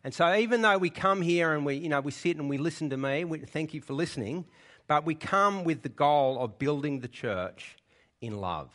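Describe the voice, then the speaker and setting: A man's voice: a little high, normal speed, fairly bright tone. A man preaching a sermon in church, explaining the passage in a conversational way and often checking in with his listeners. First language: English.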